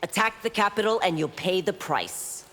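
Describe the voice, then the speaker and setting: A woman's voice: lowish voice, animated, moderate pace, fairly bright tone, faint music behind. An actress playing a stern, dutiful female warrior constable in a video game, formal and firm. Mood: stern, defiant. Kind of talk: video game character dialogue. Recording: long hall-like reverb.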